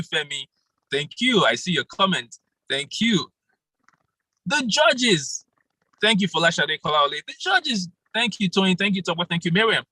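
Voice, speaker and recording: Guy coaching speakers on a video call, bright punchy voice, dry sound. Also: fairly high pitch, no room sound, low-quality recording. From Nigeria. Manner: animated, laughs often, calls on participants by name. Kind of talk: interactive online workshop talk.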